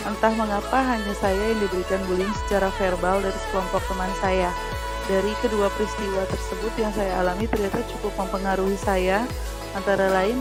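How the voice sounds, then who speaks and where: Teacher in her thirties, formal read-through, loud music under the voice. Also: mid-range voice. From Indonesia. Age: 30s. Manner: measured, formal reading. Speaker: teacher-training candidate. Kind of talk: reading a prepared assignment presentation.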